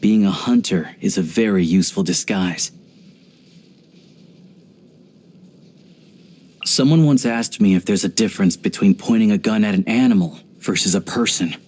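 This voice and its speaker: A man voicing a video game character, an earnest, pragmatic young hunter and rifleman who talks in a measured, reflective way. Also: lowish voice, a little mellow, lightly acted. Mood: somber, reflective.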